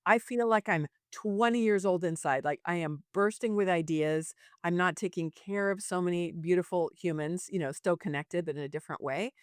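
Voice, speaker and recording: A woman in her late fifties with a clear, smooth voice in a dry, close recording. She talks as a podcast guest and business coach, informal and emphatic, moving easily between advice and storytelling. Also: lowish voice, moderate pace, hardly any pauses, no room sound. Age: late 50s. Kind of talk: conversational interview, storytelling and advice.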